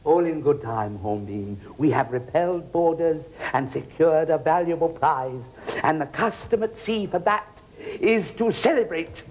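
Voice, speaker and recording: A scheming, imperious grand lady, commanding and gloating. She speaks slowly and deliberately, savouring every order. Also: sits low, animated, darkish tone, poor audio.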